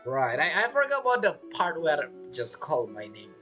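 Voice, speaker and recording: Lively, chatty game streamer with music behind. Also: mid-range voice, normal speed. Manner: chatty, reactive, often laughing. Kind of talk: reaction commentary over a video game playthrough.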